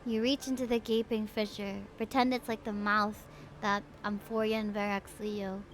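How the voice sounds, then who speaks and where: A woman's voice: mid-range voice, normal speed, slight background noise, poor audio. A woman livestreaming a video game, reading dialogue aloud and reacting casually and expressively. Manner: expressive, reacts with exclamations and laughter.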